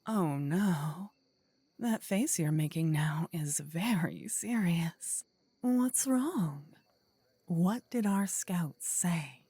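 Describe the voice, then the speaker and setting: A woman's voice: on the low side, expressive, very slow, warm tone, husky, no room sound, heavily acted. Woman acting a playful, bloodthirsty demon queen. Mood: teasing, fond. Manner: theatrical, teasing, gloating. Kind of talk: fantasy audio roleplay, ASMR.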